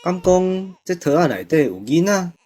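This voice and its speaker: An actor playing a kindly old village grandpa, easily startled, in a Taiwanese audio drama. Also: male voice, sits high, animated, moderate pace, mellow. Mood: wondering.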